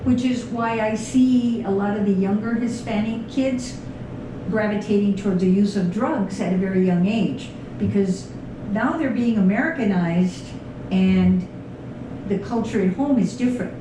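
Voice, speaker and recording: A woman hosting an interview, talking in a halting, thoughtful way with many fillers. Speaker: podcast host, clinician. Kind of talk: interview conversation. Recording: very echoey room, distant mic, rumble.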